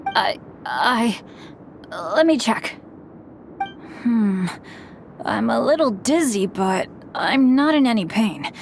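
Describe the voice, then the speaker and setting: A boyish teenage adventurer in a video game, earnest and unlucky. The voice is high and lively, with clear rumbling noise behind it. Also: female voice, slow, pauses often. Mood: shaken. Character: upbeat, accident-prone teenage adventurer boy, earnest and unlucky.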